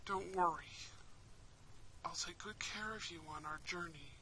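A woman's voice: on the low side, a little slow, a little hiss or hum, pitched below the performer's own voice, low-quality recording. A woman voicing a kind, protective young prince in an intimate roleplay, tender and dramatic. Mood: gentle, reassuring. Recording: steady rain and fireplace ambience.

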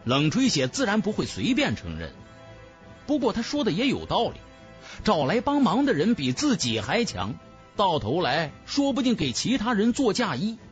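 A man's voice: sits high, huge pitch swings, normal speed, faint music behind. A man reading a fantasy novel as a dramatic audiobook narrator.

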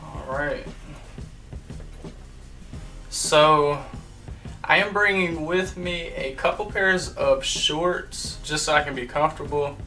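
A man's voice: a little high, slow, long pauses, pushed and bright. Young male vlogger, easygoing chat to camera, mic a little distant, faint music underneath. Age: young adult. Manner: laid-back, chatty, addresses viewers as guys. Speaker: vlog co-host. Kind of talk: casual chat. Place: bedroom, indoors.

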